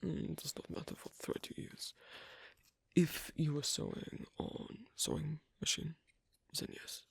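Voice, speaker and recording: An actor voicing an asocial, awkward but patient werewolf in an ASMR roleplay, speaking softly in halting, clipped phrases with a breathy, gravelly voice. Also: mid-range voice, flat delivery, dry recording, heavily acted. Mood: awkward, focused. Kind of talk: ASMR roleplay, soft close speech.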